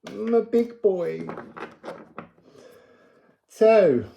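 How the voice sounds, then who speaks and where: A man's voice: high voice, animated, extremely slow, gravelly, highs cut off, slight background noise. A man giving an unscripted spiritual card reading to viewers, musing and wandering from thought to thought. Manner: musing, digressive, breaks into chanted phrases.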